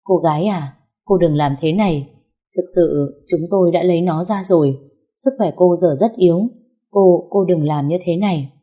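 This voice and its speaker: A woman voicing an old male doctor who breaks bad news calmly and slowly, in a low, mellow voice. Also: natural voice. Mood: calming.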